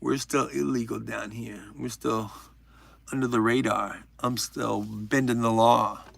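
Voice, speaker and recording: Gravelly man chatting on a livestream. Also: medium pitch, fairly even intonation, measured pace, fairly bright tone, no echo. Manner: rambling, profane, joking with chat. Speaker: livestream host. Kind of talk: casual livestream chat. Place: at home.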